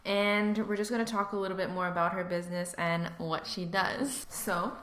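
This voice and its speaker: A woman's voice: medium pitch, fairly even intonation, normal speed, fairly bright tone. A young woman hosting a casual podcast interview, upbeat and friendly as she asks questions. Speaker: podcast host. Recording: echoey room, faint noise.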